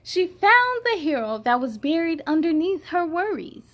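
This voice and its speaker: A woman's voice: very high-pitched, big pitch swings, moderate pace, a bit bright. A woman hosting a children's story time, reading and chatting expressively and speaking to young viewers in an encouraging way. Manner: encouraging, addresses children directly. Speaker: children's storytime host and reader. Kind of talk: expressive read-aloud narration for young children.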